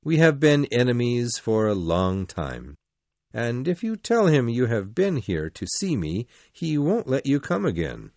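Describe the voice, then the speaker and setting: Guy doing a brooding bitter man, commanding but calm, mid pitch, storybook character, dry sound. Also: a little slow, no echo.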